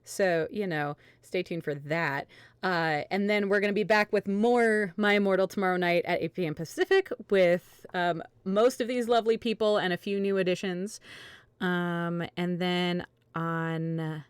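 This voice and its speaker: A woman's voice: on the low side, steady tone, a little slow, clean tone, no room sound. A woman hosting a livestream, chatting casually in a rambling, self-interrupting way. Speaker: host, variety streamer.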